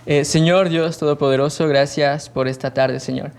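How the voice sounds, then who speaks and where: A man's voice: on the high side, fairly even intonation, normal speed, gravelly, a little mellow. A man hosting a faith-based podcast, welcoming guests, leading a prayer and asking questions in a friendly way. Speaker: podcast host.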